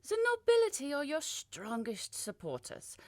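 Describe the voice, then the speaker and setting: A woman voicing a composed pregnant queen who speaks calmly and persuasively. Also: high-pitched, no room sound, clean studio sound, pitched above the performer's own voice, exaggerated voice. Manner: calm, measured, softly persuasive.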